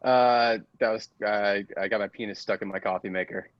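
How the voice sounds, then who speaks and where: A man's voice: lowish voice, steady tone, brisk, dry recording, lightly acted. A man playing a casual, pushy bro who cajoles in slangy, hesitant talk, in improvised comedy with a dull, dry sound. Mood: chummy. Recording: dull, dry close recording.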